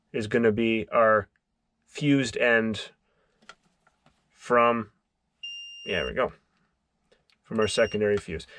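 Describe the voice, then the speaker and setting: A man's voice: mid-range voice, no echo. A man narrating a hands-on DIY tutorial as its host, explaining step by step and thinking aloud as he works. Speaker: DIY car electronics video host.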